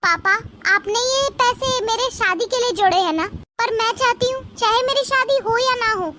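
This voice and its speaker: A woman voicing a selfless young village sister, trusting, hopeful and persuasive, in an animated moral story. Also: extremely high pitch. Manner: earnest, persuasive.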